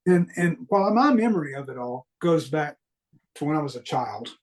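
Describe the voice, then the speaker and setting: A man with a mild Southern US accent, speaking earnestly and haltingly as he reflects on his own experiences in a conversational interview. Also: a little high, animated, a little mellow. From American Deep South. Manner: earnest, halting, repeats phrases for emphasis. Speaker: podcast guest recounting paranormal experiences.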